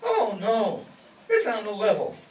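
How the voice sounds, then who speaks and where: A woman's voice: medium pitch, huge pitch swings, unhurried, noisy background. A woman playing an eager, naive teenage son in old-time radio comedy style. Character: eager, doubting teenage son, called Junior. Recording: echoey distant live stage.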